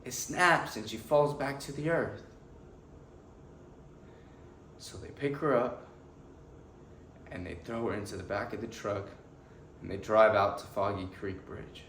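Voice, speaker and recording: An actor playing an earnest, anguished defense lawyer pleading to a jury, delivering a deliberate, emotional courtroom monologue with weighted pauses. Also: male voice, fairly low pitch, fairly bright tone, close to an everyday voice. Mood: anguished, pained. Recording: echoey room with faint background noise.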